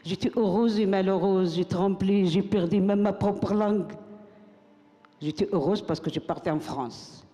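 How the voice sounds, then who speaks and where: An older woman recites her own text on a stage, her voice soft and mellow. She builds rhythm by repeating lines like a chant. The sound rings with the long reverb of a big hall. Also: medium pitch, measured pace. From Morocco. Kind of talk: spoken-word recitation to an audience.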